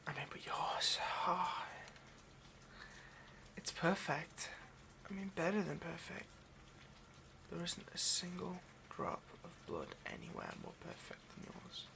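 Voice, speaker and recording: A man playing an obsessive, hungry vampire in an intimate ASMR roleplay, murmuring haltingly and often slipping toward a whisper. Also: high voice, expressive, gravelly, pushed and bright, heavily acted. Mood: rapturous, hungry. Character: obsessive, hungry male vampire, possessive and seductive.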